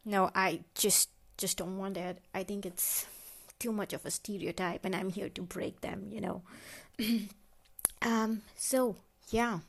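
A woman's voice: mid-range voice, fairly even intonation, slow pace, vocal fry. A woman telling an embarrassed comic story, hesitant and full of fillers and restarts. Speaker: guest storyteller. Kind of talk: confessional comic monologue.

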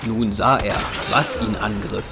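A man's voice: fairly low pitch, steady tone, measured pace, gravelly, heavy background noise. A man reading scripted narration for an audio drama. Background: music.

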